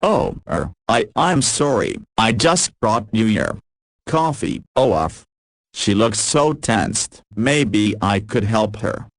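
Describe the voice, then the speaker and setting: A man's voice: fairly low pitch, lively intonation, slow pace, no room sound, studio quality. A man voicing a timid, eager young office assistant in a visual novel, speaking in a hesitant, deferential way. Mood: nervous, hesitant.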